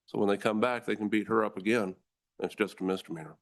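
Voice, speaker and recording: An American man, a district attorney, giving formal, forceful testimony to a legislative committee and addressing the room as ladies and gentlemen. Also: lowish voice, steady tone, quick pace.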